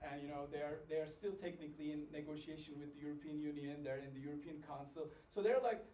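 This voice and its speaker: A man from Turkey gives a seminar talk, rambling and casual with lots of filler words. His voice is pitched a bit high yet sounds warm and mellow. He is heard from across an echoey room over faint background hiss. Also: steady tone, quick pace, recorded from a distance. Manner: chatty and informal, many fillers. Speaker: seminar presenter. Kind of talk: conference presentation.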